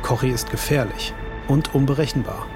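A man narrates a true crime documentary in a dramatic, scripted style. His voice sits at a medium pitch, with prominent music running underneath. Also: brisk, gravelly. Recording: loud suspense music underneath.

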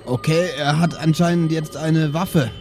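A man's voice: a little high, fairly even intonation, a little slow, over music. A man casually improvising as a player in a tabletop roleplay.

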